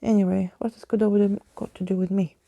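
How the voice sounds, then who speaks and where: Woman reading as a sarcastic young girl, blunt, exasperated, storybook hero, husky, mellow. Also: medium pitch, steady tone, fairly quick, no room sound, highs cut off. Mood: blunt, impatient.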